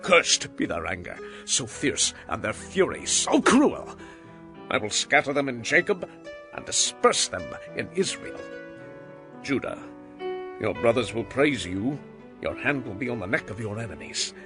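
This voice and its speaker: A man's voice: medium pitch, very animated, a little slow, over music. An actor playing an aged, dying patriarch, grave and declamatory, blessing his sons in a dramatized scripture reading. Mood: stern, angry, then proud, exalting.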